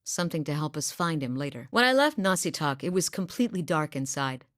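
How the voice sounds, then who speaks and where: A seasoned huntress from a distant southern island, speaking low and deep with dry, wry practicality. Her lines come from a video game, recorded close and dry in a studio. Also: lively intonation, a little fast, fairly bright tone, no echo. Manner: wry, dry, matter-of-fact. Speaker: game companion character. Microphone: close studio mic.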